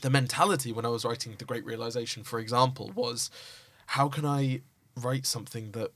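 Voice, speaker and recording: A young man, a guest poet, talking thoughtfully in casual conversation, restarting sentences and building animated explanations. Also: medium pitch, fairly quick.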